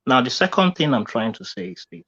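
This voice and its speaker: A man calling in to a live show, making his points in a measured, argumentative way. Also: mid-range voice, animated, vocal fry, fairly bright tone, slightly rough. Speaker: caller on a clip. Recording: dull, lo-fi clip audio.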